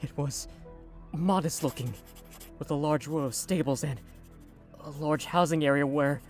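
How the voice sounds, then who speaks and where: Casting: haunted lad on the run, male actor, slow hesitant delivery, audio drama with music bed. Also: a little high, darkish tone, lightly acted. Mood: weary, subdued. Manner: halting, hesitant. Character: traumatized shape-shifting fugitive lad, Lamar, recalling captors. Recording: music under the voice.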